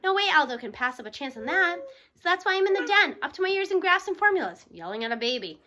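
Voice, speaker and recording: A woman with a very high, bright voice reads a children's story aloud. She narrates in the first person with animated expression, acting the tale out as she goes. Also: quick pace. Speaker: audiobook reader.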